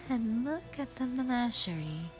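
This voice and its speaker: A gloating spider-woman villain acted by a woman toys with her prey in an audio drama. Her voice is raised and theatrical, dripping with mockery, over clear music. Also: a little high, lively intonation, unhurried, a little mellow. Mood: mocking glee. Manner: mocking, theatrical, chuckling. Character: gloating, taunting female spider monster villain.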